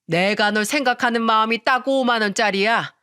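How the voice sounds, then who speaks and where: A woman's voice: fairly high pitch, moderate pace, sharp tone, smooth. A woman voicing a stern elderly mother-in-law who scolds bluntly in a dramatic family story. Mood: firm. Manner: firm, reproachful, blunt.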